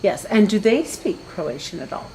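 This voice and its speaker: Woman moderator, mid-pitched, unhurried and encouraging, faint hiss behind. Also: sing-song, warm tone, low-quality recording. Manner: slow, deliberate, encouraging questions. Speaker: interview moderator. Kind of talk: interviewing.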